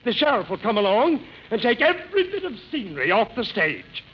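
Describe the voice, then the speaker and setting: A man playing a pompous, excitable, indignant hotel manager in a 1940s radio comedy, with theatrical delivery. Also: very high voice, a little fast. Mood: gloating indignation. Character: blustering, excitable, outraged male hotel manager. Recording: scratchy muffled 1940s radio broadcast.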